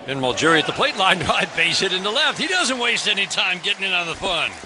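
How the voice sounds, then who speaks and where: A man calling baseball play-by-play on the radio, briskly, his voice rising on big plays. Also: high voice, very animated, noisy background. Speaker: play-by-play announcer.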